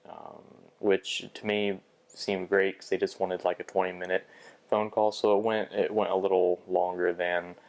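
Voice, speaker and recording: A man's voice: deep voice, level tone, normal speed, a little mellow. A young man talking through a bad job interview in a personal vlog, hesitant, with frequent restarts and fillers. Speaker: vlogger.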